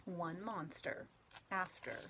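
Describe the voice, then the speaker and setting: Slow, animated woman reading a picture book aloud. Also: fairly low pitch, very noisy. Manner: expressive, drawn-out storytelling with playful sound words. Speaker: storybook read-aloud reader.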